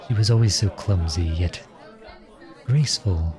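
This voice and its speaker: Male actor as exiled soldier prince, earnest and lovesick, very slow delivery, soft-spoken, audio roleplay drama, crowd murmur behind. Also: low-pitched. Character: outlawed crown prince serving as soldier, wistful, lovestruck, earnest.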